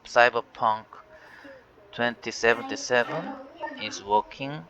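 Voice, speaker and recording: A Korean-native man speaking English in a halting way, with many fillers and restarts, walking viewers through a tech issue. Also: mid-range voice, a crowd in the background. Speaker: tech channel host. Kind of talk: casual screen-recorded walkthrough. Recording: dull lo-fi voice with faint hiss.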